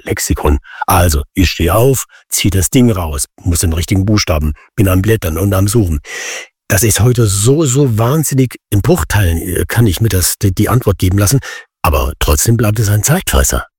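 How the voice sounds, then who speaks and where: A man's voice: on the low side, expressive, normal speed, darkish tone, breathy edge, dry recording, clean studio sound. A man working as a journalist, hosting a radio interview and asking questions in a formal, courteous way.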